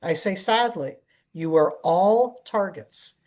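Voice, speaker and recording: A woman's voice: fairly low pitch, slow pace, a little mellow. A middle-aged American woman, a security analyst, answering a question in an online briefing with earnest emphasis and frequent restarts. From United States.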